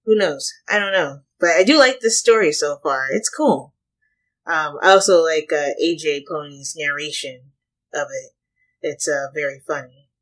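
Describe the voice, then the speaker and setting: A reaction host with a husky voice, commenting casually and thinking aloud in short, halting pieces. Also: mid-range voice, a bit bright.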